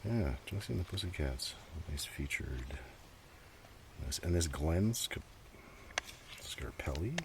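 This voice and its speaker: An extremely deep-voiced man rambles through a casual ASMR show-and-tell, half murmured and sometimes whispered. His intonation wanders up and down in a lively way. He is recorded outdoors, with clear breeze noise and rustling pages around the voice. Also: slow pace, long pauses. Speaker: host of a collection show-and-tell. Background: breeze, paper rustling and page flipping.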